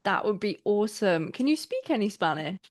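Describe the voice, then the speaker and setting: A woman's voice: medium pitch, very animated, a little fast. A woman from Wales with an English accent, interviewing a guest in a chatty, friendly way and laughing often. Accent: English, often taken for London. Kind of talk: casual interview.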